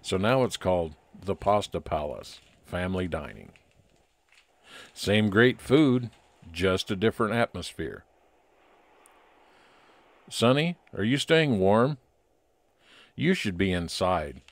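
A man playing a friendly, observant private investigator, narrating an old-time radio detective story in a measured way. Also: sits low, expressive, no echo, full character voice. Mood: measured, scene-setting. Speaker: narrator and lead actor.

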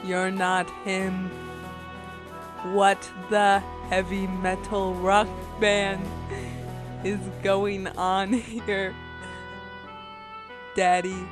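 Woman as a very slow, surly cartoon hedgehog. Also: mid-range voice, flat delivery. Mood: indignant, halting. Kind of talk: amateur comic dub. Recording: music behind the voice.

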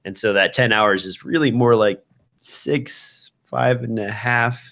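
A man in his thirties with a fairly low voice hosts a podcast. He talks casually about tech gear, speaking closely into a studio microphone. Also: takes it slowly, gravelly, fairly bright tone. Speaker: podcast host. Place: small indoor room with shelves of gear. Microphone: broadcast microphone on a boom, close up, with headphones.

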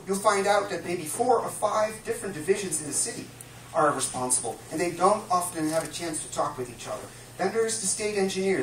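A Dutch-accented man in his fifties gives a lecture, his fairly high voice reaching the mic from a distance. The small room adds a noticeable echo, and a faint hum sits underneath as he works through his points with frequent "uh"s. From Netherlands. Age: 50s. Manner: earnest and explanatory, frequent uh fillers. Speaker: guest speaker. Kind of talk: public lecture. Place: small dim room with a projection screen, live audience. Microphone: distant camera mic.

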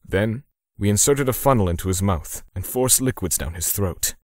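Low-voiced, cold vigilante torturer acted by a man. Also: expressive, no echo, studio quality, lightly acted. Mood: clinical. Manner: measured, grim, menacing. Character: cold, righteous male torturer for hire, narrating his work. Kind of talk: scripted manga dub narration.

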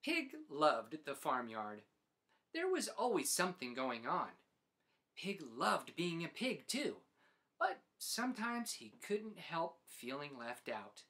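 A man in his forties narrating a children's storybook as host, with deliberate, dramatic phrasing. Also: a little high, wildly swooping pitch, bright, forceful tone. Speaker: host reading picture books aloud.

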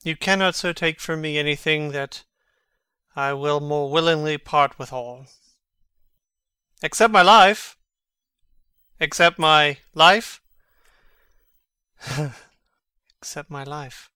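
A man's voice: fairly high pitch, slow, long pauses, pushed and bright, smooth, no echo, studio quality. A man playing a mocking, brooding prince who feigns madness, theatrical and sardonic in a Shakespearean dramatic reading. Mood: bitter.

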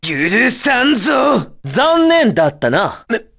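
A man playing a brash young dark swordsman in an anime video game, calling out attacks in a forceful, dramatic way. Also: very high-pitched, very animated, slow, creaky, slightly rough, dull audio, exaggerated voice. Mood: fierce, dramatic.

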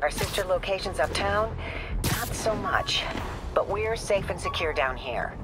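Casting: woman playing a steady, caring older aunt for a game, medium pitch, strong score behind. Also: a little slow, natural voice. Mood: reassuring. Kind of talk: video game voice acting. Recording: loud music over the voice. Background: loud game music.

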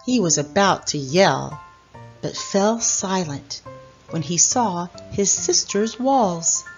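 A middle-aged woman reading a children's picture book aloud as host, in a deliberate, expressive storyteller manner. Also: lowish voice, highs cut off, soft background music.

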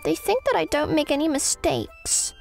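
A woman's voice: high-pitched, animated, moderate pace, gravelly, music in the background. A woman voicing an anxious, perfectionist young career woman with a dramatic, needy streak, in an anime-style dub. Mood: whiny despair. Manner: melodramatic, whiny when distressed, composed at work.

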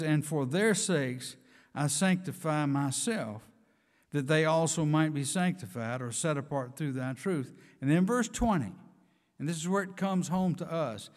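Older man preaching in a reverberant church. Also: a little high. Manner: earnest, emphatic preaching with scripture readings. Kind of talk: sermon. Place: church sanctuary, speaking from a pulpit. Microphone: pulpit microphone.

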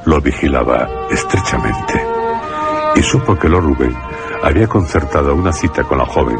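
Extremely deep, gravelly storyteller. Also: male voice. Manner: measured, solemn, broken into short phrases. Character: solemn male storyteller of a gothic tale. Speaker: narrator. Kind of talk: scripted radio drama narration.

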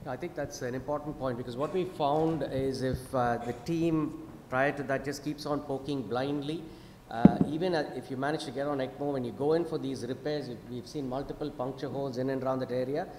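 A man's voice: medium pitch, steady tone, darkish tone. Man moderating a panel in an echoey hall. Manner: measured, frequent fillers. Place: large conference hall, at a podium. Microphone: podium microphone. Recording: echoey hall with clear noise.

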